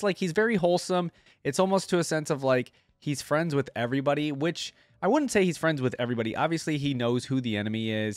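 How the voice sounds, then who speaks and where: A man's voice: medium pitch, no echo. A man hosting a reaction video, talking casually and informally with plenty of enthusiasm into a close mic.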